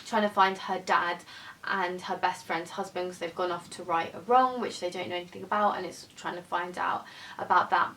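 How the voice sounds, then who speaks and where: Chatty woman, mic at a distance. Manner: chatty, unscripted, hesitant. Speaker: book vlogger. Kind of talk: casual book review chat. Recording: voice from a distance over faint rumble.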